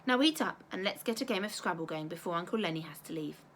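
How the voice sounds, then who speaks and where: Lady reading as a gentle mum, patient and tender, kids story, background noise. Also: on the low side, expressive, fast talker. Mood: bright. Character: gentle, caring mother soothing her child.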